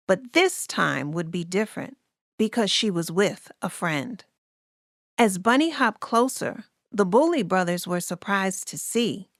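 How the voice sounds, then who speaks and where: Lady reading a kids rhyming book, takes it slow, expressive, no room sound. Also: mid-range voice, dry recording, clean studio sound. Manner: deliberate, expressive rhyming read-aloud. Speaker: storybook narrator. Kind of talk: scripted children's story narration.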